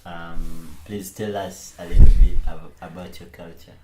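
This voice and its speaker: A man's voice: sits low, little pitch movement, measured pace, background noise. A man from Uganda interviewing a guest with short prompting questions. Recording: echoey room with faint ambience.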